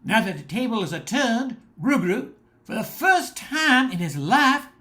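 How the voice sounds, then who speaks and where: A woman's voice: on the high side, big pitch swings, measured pace, sharp tone. A woman reading scripted narration for a radio drama, as the storyteller between the characters' lines.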